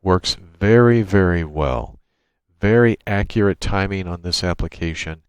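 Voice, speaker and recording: A man narrating an unscripted software tutorial, explaining each step in a matter-of-fact way. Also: deep voice, measured pace, clear, resonant tone, no room sound, clean studio sound. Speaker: tutorial presenter. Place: indoors at a computer, screen-recording voice-over.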